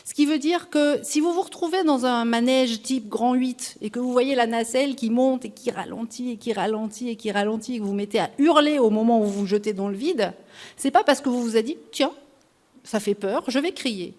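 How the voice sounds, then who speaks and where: Woman panelist, slightly high pitch, didactic explainer. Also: fairly quick, darkish tone. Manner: didactic, lecturing with vivid examples. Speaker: voice specialist panelist. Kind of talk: explanatory talk on a podcast panel. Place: festival round table before an audience.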